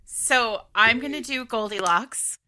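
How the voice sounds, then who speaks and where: Bright, forceful woman podcast host. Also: on the high side, measured pace, dry recording. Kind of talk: casual book recommendation chat.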